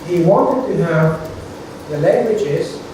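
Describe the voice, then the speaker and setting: A man whose first language is Italian lectures a university seminar, patiently explaining and pausing to check his audience follows. His voice sits a little high. He is picked up from a distance in a very echoey room with a clear hiss of noise behind. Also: expressive, mellow. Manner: explanatory, checks in with "okay?", frequent restarts. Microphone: distant from the recording mic. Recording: very echoey room recorded from far off.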